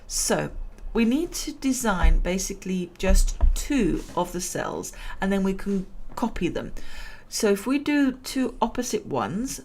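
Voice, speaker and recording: Woman streamer, slow and chatty, gravelly voice going hoarse, laughs at her own jokes. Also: mid-range voice, very animated, a little mellow, a little hiss or hum. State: losing her voice. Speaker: gameplay commentator. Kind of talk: relaxed let's-play commentary.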